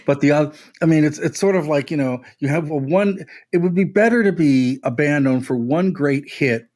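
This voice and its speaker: A man's voice: on the high side, normal speed, a little mellow. A man co-hosting a music podcast, talking casually and giving blunt, wry, emphatic opinions.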